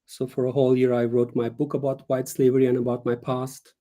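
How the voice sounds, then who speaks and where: A young man speaks over a video call as a guest author. His tone is mellow and dark. He talks in earnest and lays out each point with short pauses. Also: mid-range voice, completely flat delivery. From Turkey. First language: Turkish. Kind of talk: casual interview over a live stream.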